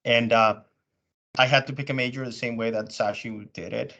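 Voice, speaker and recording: A man from Venezuela talks about his career in a relaxed, halting way, stopping often to find his words. His pitch is ordinary. The audio sounds dull and lo-fi. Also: slight background noise. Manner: hesitant, many ums and restarts. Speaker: panelist in business development. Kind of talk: casual panel talk.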